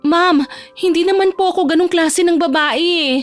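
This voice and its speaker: A woman's voice: very high voice, moderate pace, soft background music, pitched above the performer's own voice. A woman playing a meek, polite young female office newcomer in a romance audio drama, deferential and plaintive. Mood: hurt, pleading.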